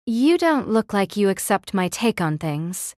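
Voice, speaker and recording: An actress playing a cool, guarded young student in an audio drama, curt and holding back displeasure. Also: female voice, mid-range voice, very animated, measured pace, darkish tone, dry recording, clean studio sound. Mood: cold, displeased. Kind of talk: anime-style audio drama acting.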